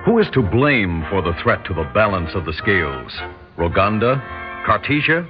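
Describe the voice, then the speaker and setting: A man's voice: fairly low pitch, very animated, normal speed, over loud music. Solemn male storyteller, portentous and rhetorical, widely swinging intonation, dull old radio drama recording. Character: grave, portentous male storyteller pondering war. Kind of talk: 1960s radio drama scripted narration.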